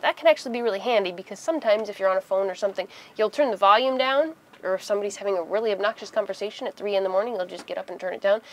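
A woman in her thirties giving a casual, conversational how-to explanation inside a small boat cabin, using everyday examples. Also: mid-range voice. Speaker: host of a sailing how-to video.

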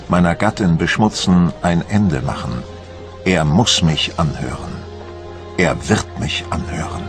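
A male actor plays a proud French admiral looking back on defeat in a documentary drama. His voice sits deep, and he speaks with solemn, theatrical deliberation and bitterness. Music plays clearly underneath. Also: lightly acted. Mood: brooding, defiant.

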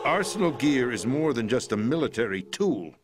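An actor playing a middle-aged president in a video game, explaining a conspiracy in a measured, grave manner. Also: male voice, mid-range voice, sing-song, natural voice. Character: calm, grave middle-aged man, president briefing via codec. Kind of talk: video game cutscene voice acting.

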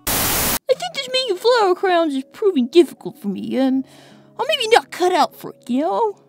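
A woman's voice: very high voice, sing-song, normal speed, heavily acted. A woman voicing an eager, bashful young boy fox with an earnest, hesitant manner in a cartoon comic dub.

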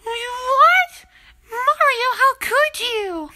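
Performer doing a sweet cartoon princess, extremely high voice, slow and hesitant, dramatic, piercing bright tone. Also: animated, heavily acted. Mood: shocked. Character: sweet, shocked young princess of a game world. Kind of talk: amateur comedic game parody voice acting.

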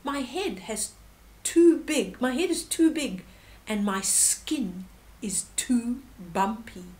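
A woman's voice: fairly high pitch, expressive, slow pace, darkish tone. A woman voicing a dumpy, warty warthog in a storybook, emphatically boasting of its ugliness. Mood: boastful. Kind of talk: storybook character reading.

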